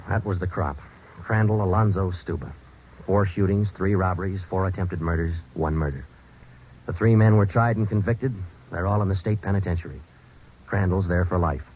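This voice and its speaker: A man's voice: sits low, lightly acted. An American man playing a stoic police detective in a 1940s radio drama, narrating in a clipped, matter-of-fact way. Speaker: lead actor and narrator. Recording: muffled old radio broadcast with music.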